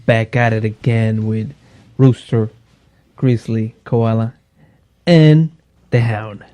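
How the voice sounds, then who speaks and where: A man's voice: fairly low pitch, steady tone, slow, mellow. A man hosting a casual podcast with friends, teasing them and laughing often. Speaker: podcast host. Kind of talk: casual joking chat among friends.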